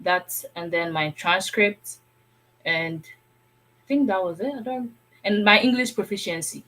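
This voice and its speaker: A woman's voice: medium pitch, measured pace, bright, forceful tone, low-quality recording. Young Ghanaian woman chatting informally. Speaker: guest, international student. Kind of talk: casual chat recounting personal experience.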